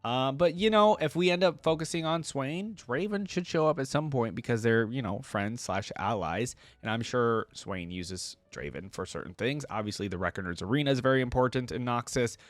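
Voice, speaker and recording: A man's voice: mid-range voice, animated, moderate pace, hardly any pauses, no room sound. A man giving casual, conversational reaction commentary to viewers, thinking aloud in a rambling way. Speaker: video creator reacting to game lore.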